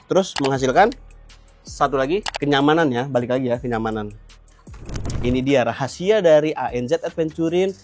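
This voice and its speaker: A man's voice: medium pitch, a little mellow, dry recording. A young adult man with a Jakarta Indonesian accent hosting a casual gear tutorial, explaining in colloquial language and checking in with viewers. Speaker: motovlog host.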